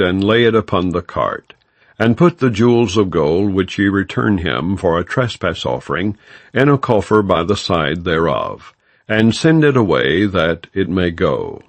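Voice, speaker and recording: A man with a low voice reads scripture as an audiobook narrator. His delivery is formal and measured, yet the pitch moves widely from phrase to phrase. The sound is dry and close, with no room reverberation. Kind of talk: scripted non-dramatized scripture reading.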